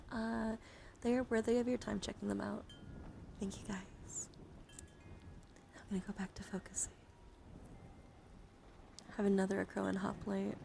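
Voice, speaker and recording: A woman with a medium-pitched, noticeably creaky voice hosts a casual ASMR stream. She is playful and easily sidetracked, moving between soft talk and hushed whispering close to the microphone. Clear noise sits behind her voice. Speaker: live streamer hosting an ASMR card stream. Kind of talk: ASMR and casual chat to viewers. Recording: clearly audible background noise.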